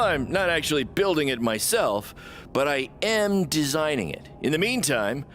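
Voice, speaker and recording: A man playing an excitable, scheming small-time wrestling promoter in a video game, with upbeat salesman patter and dramatic flourishes. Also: medium pitch, a little hiss or hum. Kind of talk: video game cutscene acting.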